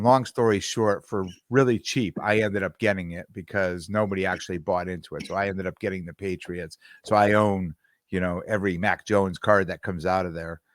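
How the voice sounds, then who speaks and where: Podcast co-host, middle-aged man, low voice, dry close sound, rambling storyteller. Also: dry recording. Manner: rambling, repeats words while searching for them. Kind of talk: casual chat.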